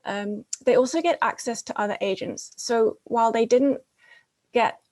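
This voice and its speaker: A woman presenting a talk at a teachers' conference, explaining her points with many pauses and restarts. Her first language is English. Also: on the high side, darkish tone. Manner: frequent fillers and restarts, explanatory.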